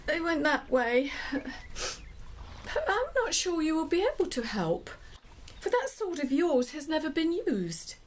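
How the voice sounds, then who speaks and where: A woman's voice: sits high, very animated, takes it slowly, a little hiss or hum, full character voice. A woman playing a grieving, fearful young damsel in an audio drama, speaking through sobs. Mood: tearful, despairing. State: sobbing.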